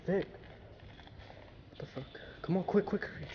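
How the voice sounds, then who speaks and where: A man's voice: high voice, normal speed. A man exploring a derelict building with a handheld camera, muttering casual, profane remarks as he goes. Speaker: urban explorer filming. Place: inside a derelict industrial building. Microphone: handheld or body-worn action camera. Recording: muffled, very noisy action-camera audio.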